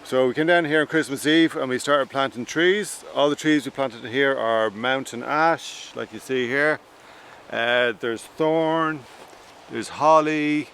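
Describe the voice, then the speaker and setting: A man in his forties with an Irish accent, a farmer talking to camera outdoors in a relaxed, plain-spoken way with frequent pauses. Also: medium pitch, slight background noise. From Ireland. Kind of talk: documentary talk to camera. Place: outdoors on a farm.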